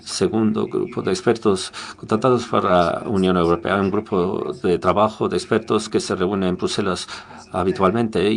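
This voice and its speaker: A man with a low, deep voice interpreting a conference talk as it happens. He stops and restarts words often and fills gaps with hesitant sounds. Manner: halting, frequent restarts and filler sounds.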